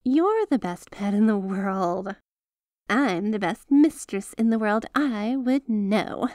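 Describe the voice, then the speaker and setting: A woman's voice: medium pitch, expressive, a little mellow, no echo, studio quality. A woman playing a doting, bashful yet possessive young mafia heiress in an intimate ASMR roleplay, speaking to the listener in a hesitant, coaxing way. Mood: playful, doting. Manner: hesitant, coaxing, affectionate, halting phrasing.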